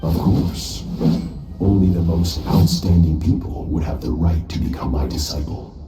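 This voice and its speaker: A man voicing an aloof, self-assured master swordsman in a game, calm and measured. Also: low voice, lightly acted. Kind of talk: game voice acting. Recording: roomy, distant, faint music.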